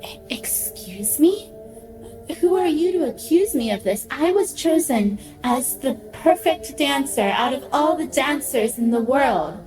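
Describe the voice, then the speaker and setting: A woman's voice: high voice, lively intonation, a little slow, darkish tone, soft background music, poor audio. A woman playing a proud, self-absorbed artificial intelligence ballerina in a radio play, with a haughty manner. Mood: affronted. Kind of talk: theatrical radio play acting.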